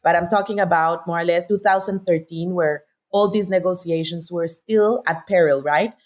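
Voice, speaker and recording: A woman's voice: fairly low pitch, fairly even intonation, moderate pace. A woman with a Colombian accent, a native Spanish speaker, telling her story articulately and enthusiastically as an interview guest. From Bogota, Colombia. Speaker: interview guest, sustainability director.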